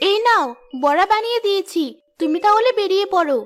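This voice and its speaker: A woman voicing a gentle, caring young village mother in a cartoon story. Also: very high-pitched, animated, fast, soft background music. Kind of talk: Bengali cartoon story voice acting.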